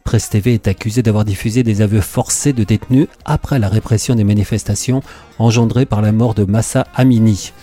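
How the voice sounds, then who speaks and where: A man's voice: fairly low pitch, steady tone, a little fast, warm tone, faint music behind. A man presenting a radio media column, reading a script with opinionated, informal asides.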